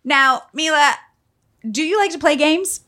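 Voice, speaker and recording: A woman in her forties hosting a podcast, joking and talking in quick bursts with lots of restarts. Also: high voice. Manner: animated, jokey, trailing restarts. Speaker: podcast host. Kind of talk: casual comedic chat.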